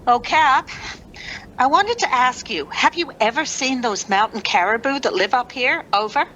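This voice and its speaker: A woman's voice: high-pitched, lively intonation, normal speed, sharp tone, muffled recording, a little hiss or hum. A woman playing a chatty, businesslike rescue officer making radio reports in a radio play. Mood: curious, puzzled. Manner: businesslike yet folksy.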